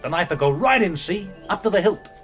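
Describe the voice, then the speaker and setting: A man's voice: sits high, animated, brisk, a bit bright, faint music behind. An actor with a British accent playing a bossy, theatrical, wily doctor who explains like a showman in a radio drama. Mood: brisk, instructing. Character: commanding, theatrical, wily gentleman doctor turned amateur sleuth.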